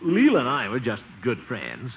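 An actor playing a blustering, flustered middle-aged fiancé who hems and stammers in a 1940s radio comedy. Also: male voice, medium pitch, huge pitch swings, normal speed. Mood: flustered, sheepish. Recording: dull, very noisy 1940s radio recording.

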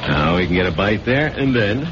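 An actor playing a skeptical, unflappable newsroom chief with a wry, blunt manner, in 1970s radio drama style. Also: male voice, fairly low pitch, animated, moderate pace, natural voice. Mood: dry skepticism. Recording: dull, noisy old radio broadcast.

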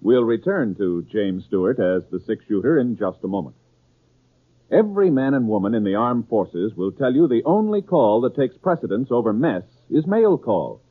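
A man's voice: mid-range voice, very animated, normal speed, slightly rough. An American man announcing from a script in 1950s radio style. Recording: tinny old 1950s radio broadcast.

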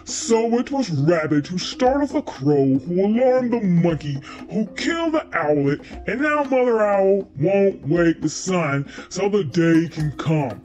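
Storybook lion king, male, solemn and commanding, voice dropped low, declamatory. Female reader performs. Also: lively intonation, unhurried, few pauses, dark tone, soft background music. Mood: solemn, proclaiming. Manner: solemn, declamatory, repetitive cadence. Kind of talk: storybook character reading.

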